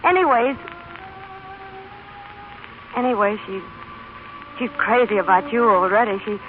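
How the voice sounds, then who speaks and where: An actress playing an awkward, self-sacrificing working-class mother in a vintage radio drama, speaking haltingly with stammers and homespun grammar. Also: mid-range voice, faint music behind, close to an everyday voice. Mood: earnest, pleading. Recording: tinny band-limited old radio recording.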